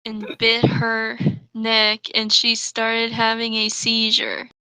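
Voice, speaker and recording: A young woman reading bad fan fiction aloud for comedy, deliberately, with casual banter in between. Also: fairly high pitch, completely flat delivery, sharp tone, smooth, dry recording, poor audio. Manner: deliberate, stumbles over misspellings read as written. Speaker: host and reader.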